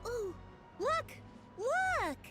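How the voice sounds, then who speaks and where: A woman voicing a tiny, chirpy, childlike companion creature in a video game, eager, teasing and squeaky. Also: sing-song, drawn-out words, exaggerated voice. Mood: excited. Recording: loud game music behind.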